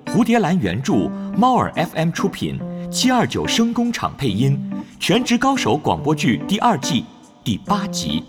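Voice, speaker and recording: A man's voice: fairly high pitch, huge pitch swings, moderate pace. A man announcing audio drama credits in a scripted style over music. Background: plucked guitar music.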